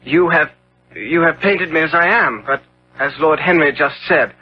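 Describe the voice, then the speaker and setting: Impressionable young gentleman, high-voiced, old radio. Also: moderate pace, slightly rough. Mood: distressed. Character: handsome, impressionable Victorian young gentleman, anxious about aging. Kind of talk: 1970s radio drama acting. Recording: dull, noisy 1970s radio recording.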